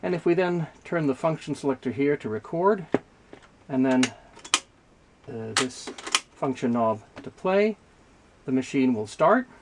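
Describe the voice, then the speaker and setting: A man giving an unscripted, hands-on technical demonstration as a video host, explaining things in a measured, methodical way. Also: mid-range voice, lively intonation. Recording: clear background noise, mechanical clicks.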